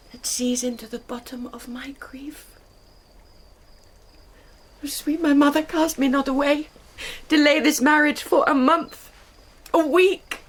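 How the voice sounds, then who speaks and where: An actress playing a desperate young noble daughter in a Shakespearean radio drama, with a British accent and imploring, emotional verse delivery. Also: female voice, high-pitched, slight background noise.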